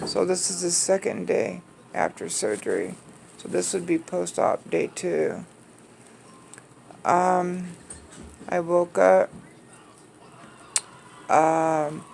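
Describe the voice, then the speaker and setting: A woman with a heavily gravelly, hoarse voice speaks very slowly, pausing often and filling gaps with "um". She talks casually from her recliner at home. A faint hiss sits under her voice. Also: on the low side, darkish tone. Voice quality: raspy. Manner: slow, halting, many pauses and fillers. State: hoarse after surgery, wearing a soft neck collar. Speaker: vlogger recovering from neck surgery. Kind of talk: casual recovery vlog to camera.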